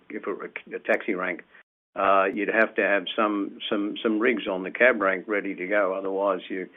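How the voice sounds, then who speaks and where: A man speaking as a company executive, answering questions on an earnings call in an explanatory way and restarting phrases. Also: on the low side. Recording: tinny, phone-like conference call line.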